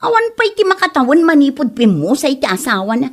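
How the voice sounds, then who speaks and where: A woman's voice: high-pitched, very animated, a little fast. A woman playing a spiteful, judgmental village gossip in an Ilocano comedy drama, exclaiming indignantly with an Ilocano accent. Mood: scandalized gossiping.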